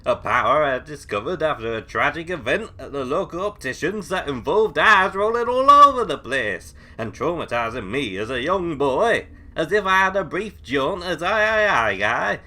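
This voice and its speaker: An eccentric British hermit from a bunker, acted by a man, with a bright, forceful voice that swings widely in pitch. He is theatrical, paranoid and blustering, rambling on in comic audio drama fashion. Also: expressive, moderate pace, few pauses, heavily acted. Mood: grandiose rambling. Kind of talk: comedic audio drama acting.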